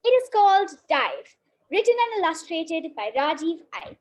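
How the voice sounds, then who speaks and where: A woman's voice: very high-pitched, very animated, moderate pace, darkish tone. A female reader reading a children's picture book aloud in a careful way, now and then tripping on a word. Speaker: story reader.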